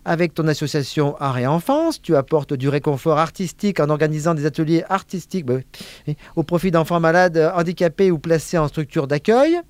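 Chatty male radio host, slightly high voice. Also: fairly quick, dry recording. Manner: relaxed, chatty, reads introductions. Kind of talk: radio interview hosting.

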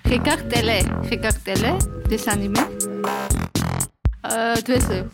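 A woman learner speaks in simple, halting phrases with a fairly high, very lively voice. Loud music plays underneath her throughout. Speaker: language learner.

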